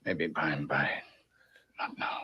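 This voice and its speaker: A man's voice: rumbling low, fairly even intonation, unhurried, breathy edge, exaggerated voice. An actor playing a stoic, wounded, watchful male sidekick who speaks terse broken English in an old radio western. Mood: weary. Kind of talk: 1940s radio western acting. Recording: muffled, noisy old radio recording.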